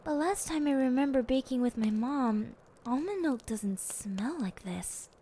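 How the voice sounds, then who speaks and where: A woman plays a sweet, timid young female assistant in an audio roleplay. Her voice is high yet mellow, and she talks slowly, meekly and apologetically, with noise audible behind her. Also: lively intonation. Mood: curious, meek. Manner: hesitant, meek.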